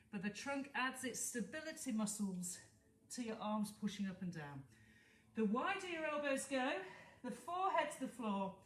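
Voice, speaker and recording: A woman's voice: medium pitch, distant mic. A British woman Pilates instructor, congested from hay fever, counting reps and cueing movements while demonstrating. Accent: British English. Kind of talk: guided exercise class instruction. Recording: echoey room, voice from afar, faint hiss.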